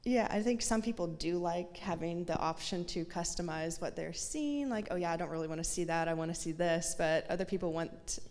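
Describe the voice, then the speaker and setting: Woman on a panel, lowish voice, earnest with some ums, echoey hall. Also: lively intonation, a little fast, few pauses, a little mellow. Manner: earnest, considered, uses fillers. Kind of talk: panel discussion. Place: university event hall. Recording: long hall reverb, faint background noise.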